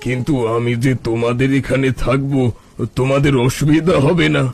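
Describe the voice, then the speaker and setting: A man's voice: mid-range voice, fairly even intonation, normal speed, a little mellow, faint music behind, close to an everyday voice. A man voicing a betrayed, helpless holy man in a cartoon, plaintive and lamenting. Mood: humble. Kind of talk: cartoon storytelling voice acting.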